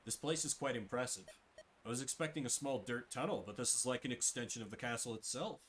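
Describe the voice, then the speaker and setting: A man's voice: medium pitch, lively intonation, quick pace, fairly bright tone, slight background noise, lightly acted. A man voicing a level-headed, curious tactician in a fantasy game. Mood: thoughtful. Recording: game music behind the voice.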